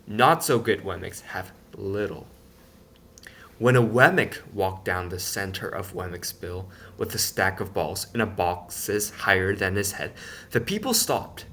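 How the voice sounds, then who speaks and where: A man reading a children's picture book aloud in an expressive, playful storytelling manner. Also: lowish voice, slight background noise.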